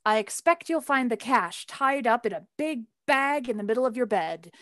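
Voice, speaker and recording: A woman's voice: on the high side, lively intonation, moderate pace, fairly bright tone, no echo, studio quality. A woman acting in a radio play as a playful, teasing grown son of the family. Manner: teasing, exuberant.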